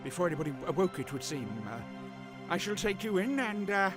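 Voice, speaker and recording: Fussy, high-pitched old grandfather. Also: expressive, a little fast, music in the background. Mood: flustered, gentle. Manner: fussy, hesitant, tags questions with "hmm". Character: kindly, doddering old Time Lord grandfather. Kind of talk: audio drama acting.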